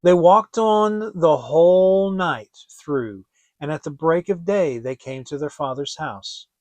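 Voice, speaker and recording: Male audiobook narrator: expressive, theatrical fairy-tale delivery, voice a bit higher than average, very dry sound. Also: takes it slowly, dry recording. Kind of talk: dramatic fairy tale reading, scripted narration.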